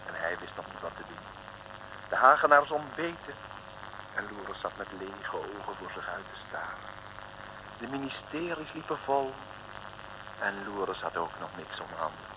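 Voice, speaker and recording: A man's voice: fairly low pitch, expressive, a little slow, creaky, long pauses. A man narrating a scripted 1960s radio comedy serial with theatrical, rhythmic storytelling. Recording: noisy old radio recording.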